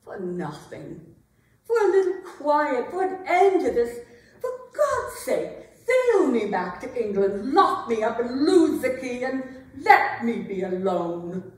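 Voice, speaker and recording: A woman's voice: fairly high pitch, very animated, slow pace. Woman acting a weary medieval queen, very echoey. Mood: pleading, exasperated. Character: weary, wounded, sharp-tongued medieval queen and wife. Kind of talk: dramatic staged reading of a classic play. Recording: very echoey room, distant microphone.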